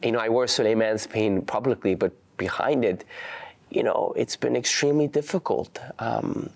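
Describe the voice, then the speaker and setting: A young man whose first language is Farsi, giving earnest, halting interview answers full of restarts. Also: lowish voice, vocal fry. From Canada. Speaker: activist guest.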